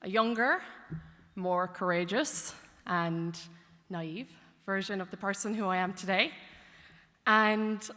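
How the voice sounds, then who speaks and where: A young woman with a bright, clear voice delivers a heartfelt graduation address, mixing sincerity with light humour. Her words carry through a lectern microphone, trailing a long reverb across a large concert hall. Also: mid-range voice. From Ireland. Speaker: graduate speaker. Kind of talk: commencement speech.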